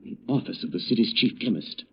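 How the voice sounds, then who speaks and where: An actor playing a resolute, protective gentleman detective with firm, dramatic old radio delivery. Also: medium pitch, quick pace, natural voice. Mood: intent, protective. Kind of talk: 1930s radio drama acting. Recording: dull, noisy old radio recording.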